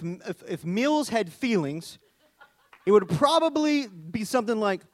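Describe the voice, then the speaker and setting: A man's voice: high voice, no room sound. A middle-aged man from Arkansas with a Southern US accent, a worship leader preaching a conversational sermon with joking asides and scripture reading. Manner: chatty, joking asides, animated storytelling.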